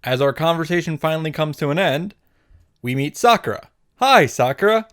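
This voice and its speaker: A man's voice: on the high side, a little fast, smooth. A man giving a comedic, irreverent recap narration as host, sarcastic and sweary, in punchy short sentences. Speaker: host of a visual novel summary series.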